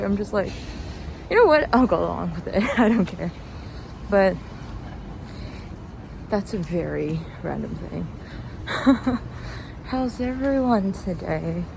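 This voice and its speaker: A chirpy woman rambles casually, as if to friends, at an unhurried pace with a medium pitch. She halts and restarts her phrases and is quick to giggle. She is recorded outdoors on the move, with cars and street noise clearly behind her. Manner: giggly, chuckles often between phrases; halting, peppered with "like". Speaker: host of a personal walk-and-talk podcast. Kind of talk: casual rambling solo chat. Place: outdoors, walking along a street. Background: passing cars, urban outdoor ambience.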